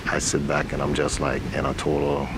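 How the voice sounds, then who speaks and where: Really deep creaky guy talking in an interview, kinda halting and thoughtful, lots of background noise. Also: monotone. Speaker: stage play director and actor. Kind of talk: interview answers about a community stage play. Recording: noisy recording with rumbling ambience.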